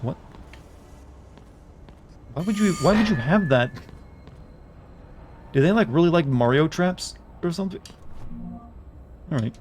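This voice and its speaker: A man streaming a game, chatting wryly with joking asides, his voice a bit raspy. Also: fairly high pitch, expressive, measured pace, pauses often, a little mellow, slight background noise. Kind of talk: live gameplay commentary. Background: faint game music.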